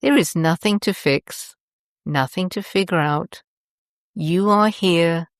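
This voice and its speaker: A woman host narrating a guided meditation in a gentle, soothing and deliberate manner. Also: fairly low pitch, dry recording, clean studio sound.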